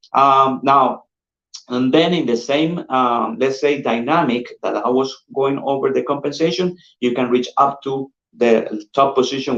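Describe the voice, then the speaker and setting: A man with a Colombian Spanish accent, a sales manager giving an energetic, persuasive pitch and calling his listeners "guys" over and over. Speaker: insurance sales manager presenting. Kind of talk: upbeat sales pitch on a call. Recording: dull roomy lo-fi audio.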